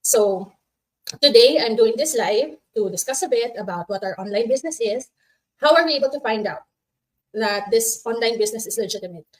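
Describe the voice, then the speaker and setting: A woman's voice: a little high, lively intonation, brisk, low-quality recording. A woman in her thirties from the Philippines with a Filipino accent, hosting a casual livestream chat, friendly and chatty, often laughing and mixing in Tagalog. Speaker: host of a Facebook live.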